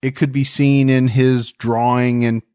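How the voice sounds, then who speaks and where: A man hosting a true crime podcast, telling the story in a relaxed, friendly way and chatting with his co-host. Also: medium pitch, a little slow, smooth, no echo, studio quality.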